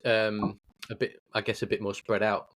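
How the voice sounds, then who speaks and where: A man's voice: fairly low pitch, level tone, moderate pace, fairly bright tone. A man hosting a casual podcast interview, asking questions tentatively and searching for his words.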